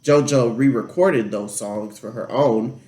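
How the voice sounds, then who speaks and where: A man's voice: medium pitch, a little slow, a little mellow. A man co-hosting a casual podcast chat, blunt and opinionated. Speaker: podcast co-host. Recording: echoey room.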